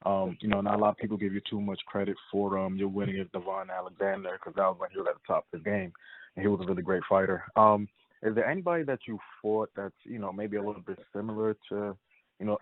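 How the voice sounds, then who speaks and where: A man's voice: fairly low pitch, fairly even intonation, fast talker, no echo. A man asking casual, wordy interview questions as a boxing reporter. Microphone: telephone. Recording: tinny phone conference line.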